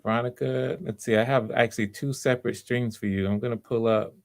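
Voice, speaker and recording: A man's voice: mid-range voice, fairly even intonation, brisk, gravelly. A man hosting online auditions in a casual, encouraging way, often repeating himself. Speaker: audition host. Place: live video stream.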